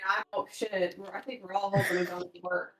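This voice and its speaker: A woman's voice: mid-range voice, level tone, fairly quick, sharp tone, distant mic. A woman chatting casually as a player in a tabletop role-playing game. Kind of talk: casual chat. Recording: roomy, off-mic, faint music behind.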